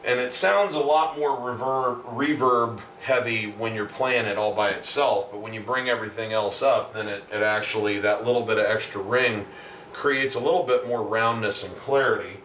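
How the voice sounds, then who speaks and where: Male musician, low voice, casual explanatory video diary delivery, roomy sound with faint noise. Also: fairly even intonation, moderate pace, a bit bright. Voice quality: deep natural voice. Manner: rambling, explanatory, with many asides. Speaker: singer-songwriter and self-producing musician. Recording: roomy, distant mic, faint background noise.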